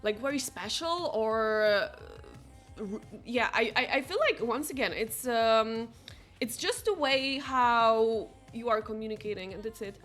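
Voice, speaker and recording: A woman's voice: a little high, measured pace, a bit bright. A young woman with a Slovak accent, a non-native English speaker, chatting casually with viewers as she streams a game, sprinkling her talk with "like". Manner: chatty, heavy use of "like", reads viewers' chat aloud. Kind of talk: casual chat while playing a game. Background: faint game music.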